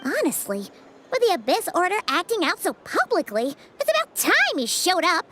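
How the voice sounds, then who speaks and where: A woman voicing a small, chirpy, cheeky fairy companion with a childlike, chatty, expressive manner in a video game. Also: very high voice, normal speed, noisy background, exaggerated voice. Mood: cheerful, casual. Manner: chatty, expressive, refers to self by name.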